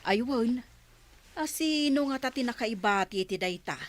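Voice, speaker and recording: Audio drama part: actress as teasing, gossipy village storekeeper, Ilocano accent, slightly high pitch. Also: female voice, animated, unhurried.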